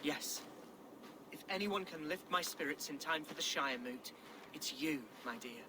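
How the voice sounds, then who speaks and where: Man playing a high-voiced, earnest young nobleman. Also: unhurried, background noise, close to an everyday voice. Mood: wistful. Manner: courtly, affectionate, florid. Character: young, earnest, tender-hearted Saxon nobleman. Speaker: video game supporting character. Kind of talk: dramatic game cutscene acting.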